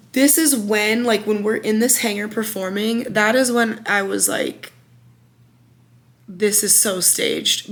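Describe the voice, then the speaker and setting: Chatty young American woman, candid and frank. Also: mid-range voice. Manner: candid and chatty, many "like" fillers, frank opinions. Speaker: former singing contestant recounting her experience. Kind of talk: casual storytelling vlog.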